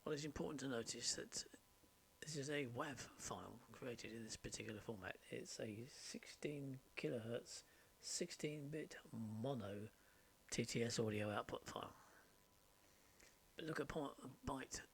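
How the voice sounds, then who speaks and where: A man narrating an informal software tutorial, explaining step by step in short, halting phrases. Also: mid-range voice, gravelly. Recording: steady background noise, mouse clicks. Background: faint noise and clicking.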